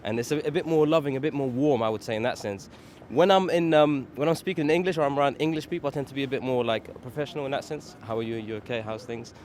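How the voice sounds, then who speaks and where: A man's voice: mid-range voice, quick pace, few pauses, darkish tone, slight background noise. A man whose first language is Arabic, answering a street interview thoughtfully and searching for words.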